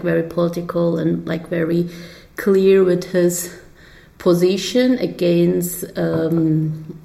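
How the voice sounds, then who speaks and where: A woman telling personal stories in an interview in a halting, informal way, as if thinking aloud. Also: lowish voice, vocal fry, a little mellow. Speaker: peace activist interviewee. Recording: roomy, echoing interview recording.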